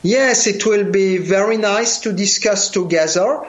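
Hesitant French man, echoey lo-fi audio. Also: high voice. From France. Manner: halting, many fillers and repeated words. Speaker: scientist guest. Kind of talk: remote interview, casual. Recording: echoey, dull lo-fi remote audio.

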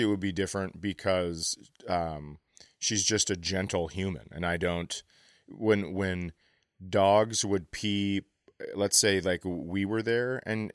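A man with a deep voice banters as a comedy podcast co-host. His delivery is conversational and halting, slipping into imagined bits of dialogue. The recording is dry, with no audible room. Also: dry recording. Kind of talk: casual comic banter.

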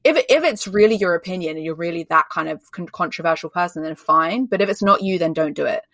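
A woman's voice: fairly low pitch, lively intonation, fast, fairly bright tone, dry recording, studio quality. A woman from Australia who speaks with an English accent, a guest expert giving emphatic, chatty interview answers full of "like" fillers. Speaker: guest, personal branding expert. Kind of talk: candid conversational interview answers.

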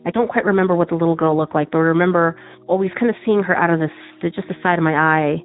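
A woman with a low voice, from Arizona, leaves a chatty voicemail full of small hesitations. The line sounds narrow and phone-like, with soft music in the background. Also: fairly even intonation, fast talker. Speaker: caller. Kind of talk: recorded voicemail story.

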